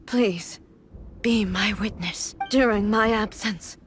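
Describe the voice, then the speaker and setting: A woman playing a solemn, regal ruler in a video game, speaking in a stately, formal and measured way. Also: medium pitch, soft background music, natural voice. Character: solemn, regal female ruler swearing duty to her people. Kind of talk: video game character acting.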